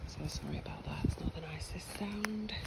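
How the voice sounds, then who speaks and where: A woman's voice: medium pitch, moderate pace, gravelly, in a whisper. A woman with a cold, chatting casually and a bit scattily as she walks around her allotment. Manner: chatty, scattered, with many ums and restarts. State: sniffly from a cold. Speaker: allotment gardener giving a tour. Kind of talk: casual walking tour vlog. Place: outdoors on an allotment.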